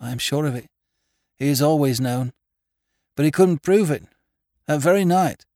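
Storybook role: unhappy farmer's wife played by a male narrator, high, hoarse, stark and rustic. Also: moderate pace, dark tone, no echo, studio quality, close to an everyday voice. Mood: stark, fearful. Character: desperate, unhappy rural farmer's wife, plain-spoken.

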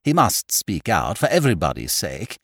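A man's voice: deep voice, wildly swooping pitch, normal speed, a bit bright, breathy edge, no room sound, studio quality, pitched above the performer's own voice, heavily acted. A man voicing a prim, insistent English matron with a fussy, pressing manner in an audiobook.

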